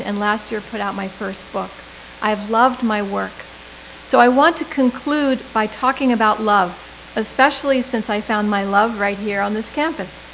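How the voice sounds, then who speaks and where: Middle aged lady doing a graduation speech, kinda high voice, measured, bit of hiss on the podium mic. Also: a bit bright, low-quality recording. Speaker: commencement speaker. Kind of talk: prepared commencement speech. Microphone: podium microphone.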